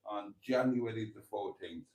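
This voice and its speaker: A British man in his fifties, talking to his viewers in a relaxed, rambling way. His voice sits fairly low and sounds warm and mellow. He trails off into ums and calls the audience darlings. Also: a little slow, poor audio. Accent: British English. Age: 50s. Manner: chatty and self-deprecating, calls viewers darlings; rambling with frequent ums and restarts. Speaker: vlogger host. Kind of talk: casual live-stream chat.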